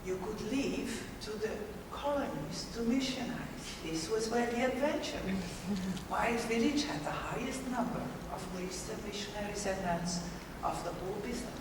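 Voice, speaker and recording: A woman's voice: medium pitch, moderate pace, few pauses. Belgian-born woman in discussion, reflective, captured from a distance in a reverberant space. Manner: thoughtful, repetitive restarts. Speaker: discussion participant. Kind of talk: spontaneous discussion. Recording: echoey hall, distant mic, background noise.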